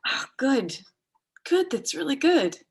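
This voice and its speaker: A woman in a dramatic reading, playing a sharp, foul-mouthed, vulnerable woman with a halting, blunt delivery. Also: high-pitched, very animated, vocal fry, exaggerated voice. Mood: awkward, friendly. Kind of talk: dramatic reading of a stage play dialogue.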